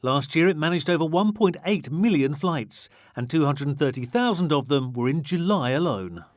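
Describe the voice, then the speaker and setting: A man's voice: on the high side, lively intonation, moderate pace. A man reading a scripted news narration as the reporter.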